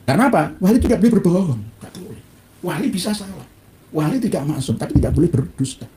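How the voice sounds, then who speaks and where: Male cleric, Javanese Indonesian accent, quick and mellow-toned. Satirical, informal lecturing with rhetorical questions. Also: sits high, expressive. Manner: satirical, uses rhetorical questions, mixes Arabic quotes. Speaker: Islamic cleric giving a lecture. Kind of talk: informal religious lecture reading and critiquing a book.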